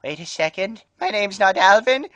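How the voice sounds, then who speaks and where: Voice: medium pitch, lively intonation, normal speed, fairly bright tone, no room sound, natural voice. A performer voicing a doddering old male mouse in a comedy cartoon parody, theatrical and muddled. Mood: dying, muddled.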